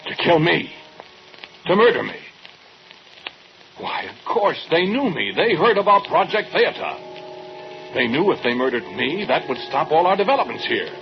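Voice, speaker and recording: An actor playing an obsessed, fatalistic male scientist in an old-time radio drama, speaking haltingly and insistently. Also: a little high, very animated, faint music behind. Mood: agitated, paranoid. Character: obsessed, fatalistic male scientist who builds weapons, growing paranoid. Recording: muffled, noisy old radio recording.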